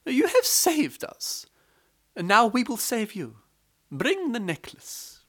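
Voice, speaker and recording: A storyteller voicing the clever, humble wife of a poor Brahmin, speaking in a raised, soothing, flattering voice. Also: male voice, slow pace, heavily acted. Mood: reassuring. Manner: flattering, soothing, high-pitched.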